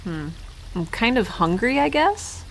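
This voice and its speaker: An actress playing a stubborn, rattled young woman scientist with a head injury, halting and conversational in an audio drama. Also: fairly high pitch, very animated, gravelly, slight background noise, heavily acted, low-quality recording. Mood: dazed, wry. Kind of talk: naturalistic audio drama acting.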